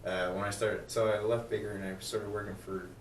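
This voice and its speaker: A man's voice: fairly low pitch, level tone, fairly quick, roomy sound, distant mic, a little hiss or hum. Laid-back man in his thirties. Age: 30s. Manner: easygoing, laughs often, agreeable. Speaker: podcast guest, sales manager. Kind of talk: casual chat.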